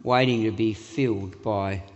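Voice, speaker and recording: A man's voice: lowish voice, fairly even intonation, measured pace, darkish tone, muffled recording. A man, preaching to his congregation in a joking, self-deprecating way. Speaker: pastor. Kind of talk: sermon. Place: church hall. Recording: reverberant hall.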